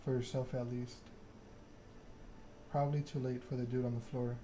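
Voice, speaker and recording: Male ASMR narrator for a text-driven game, very even pitch, clearly noisy ambient background. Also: moderate pace. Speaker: streamer reading a game aloud.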